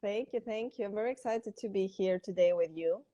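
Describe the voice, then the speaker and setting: A woman from Greece and Bulgaria speaks in a mellow, medium-pitched voice. She tells her story casually as a guest on an online interview. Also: a little fast. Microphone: video call.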